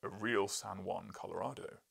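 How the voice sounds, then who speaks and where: A man acting a booming, pompous bullying professor. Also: steady tone, moderate pace, vocal fry, fairly bright tone, slightly rough, heavily acted. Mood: imperious. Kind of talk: audiobook character voice.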